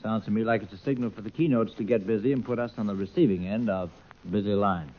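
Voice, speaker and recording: A man's voice: lowish voice, fast talker. A man hosting a vintage 1940s-50s radio variety show, delivering scripted, folksy comic patter. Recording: dull old radio broadcast recording.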